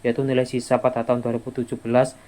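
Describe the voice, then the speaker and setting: A young man giving an explanatory class presentation in an informal, halting way, often repeating words. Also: mid-range voice, monotone, darkish tone. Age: young adult. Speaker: student presenter.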